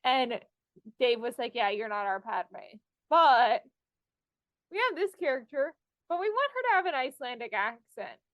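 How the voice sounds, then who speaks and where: A woman's voice: high voice, sing-song, moderate pace, a bit bright, no echo. A woman hosting a podcast, telling anecdotes in a chatty, enthusiastic fan style. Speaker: podcast host. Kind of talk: casual fan chat and anecdotes.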